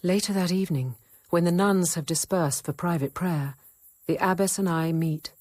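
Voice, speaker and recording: An actress playing a discreet, thoughtful convent apothecary nun in a radio drama, quiet and earnest in both narration and dialogue. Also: female voice, on the low side, moderate pace, dry recording, studio quality, close to an everyday voice. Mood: sober, narrating. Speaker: narrator and lead character.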